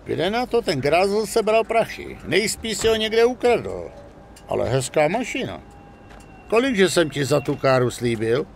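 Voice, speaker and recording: An actor playing a gruff, wily middle-aged stolen-car dealer with a gravelly drawl in a crime game. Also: male voice, on the high side, big pitch swings, a little hiss or hum. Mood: appraising, dismissive. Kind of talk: dubbed crime game dialogue.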